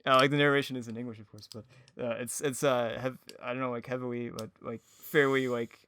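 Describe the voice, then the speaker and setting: A man's voice: medium pitch, little pitch movement, brisk, bright, forceful tone, clear, resonant tone. A man in a casual podcast chat, talking in a hesitant, rambling way with many filler words. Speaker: audio drama creator, podcast speaker.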